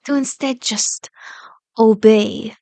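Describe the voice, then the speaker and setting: A woman's voice: fairly high pitch, fairly even intonation, dark tone, no room sound. A woman playing a powerful, manipulative female vampire in an ASMR roleplay, seductive and condescending, slipping into whispers as she commands and coaxes. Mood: taunting, commanding. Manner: slow, commanding, mock-sweet taunting with whispered words.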